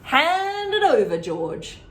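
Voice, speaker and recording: A woman voicing a greedy, bossy, enormous old grandmother who shouts and scolds in a storybook reading. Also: high voice, sing-song, slow, pitched above the performer's own voice, full character voice. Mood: indignant. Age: older. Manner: shouting, scolding, self-pitying.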